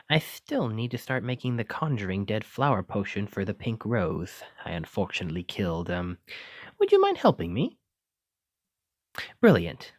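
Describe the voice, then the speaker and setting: A man's voice: fairly low pitch, a little mellow, dry recording, clean studio sound, full character voice. A man playing a clumsy, kindly wizard teacher in an ASMR roleplay, with theatrical, old-fashioned, encouraging phrasing. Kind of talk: ASMR roleplay addressed to the listener.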